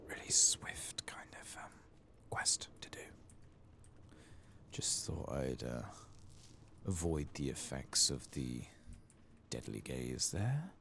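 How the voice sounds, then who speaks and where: A soft-spoken man who often drops into a whisper, muttering casually to himself as he commentates on a video game in an ASMR style. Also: very deep voice, gravelly. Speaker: host playing a video game.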